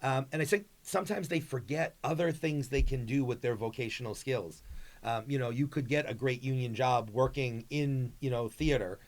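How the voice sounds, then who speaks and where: A man's voice: mid-range voice, moderate pace. A man speaking as a drama teacher in a casual interview, explaining things in an earnest, conversational way. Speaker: high school drama advisor, guest.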